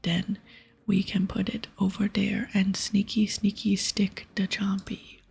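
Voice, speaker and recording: A woman voicing a crude, hungry ogre hunter in a breathy whisper, with childlike broken grammar, for an ASMR game playthrough. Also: medium pitch, monotone, a little mellow, full character voice. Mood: pleased, eager. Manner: broken baby-talk grammar, drawn-out words.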